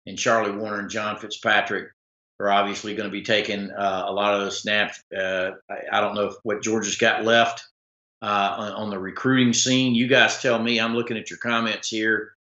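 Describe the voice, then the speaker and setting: A man hosting a casual sports talk stream, rambling with many fillers and restarts, his voice slightly rough. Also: on the low side, flat delivery, moderate pace, sharp tone, echoey room, lo-fi sound. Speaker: sports talk show host. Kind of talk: casual live-stream commentary reading viewer comments.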